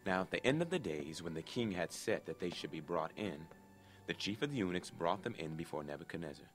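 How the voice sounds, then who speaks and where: A man's voice: sits low, animated, fairly quick. A man narrating a dramatic scripted Bible reading in a formal, solemn storytelling manner. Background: background music.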